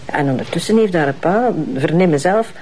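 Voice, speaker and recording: A woman's voice: lowish voice, expressive, normal speed, darkish tone, a little hiss or hum, close to an everyday voice. A woman with a Flemish accent playing an outspoken, chatty police detective in a crime drama. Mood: insistent. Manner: chatty, forthright. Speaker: actor.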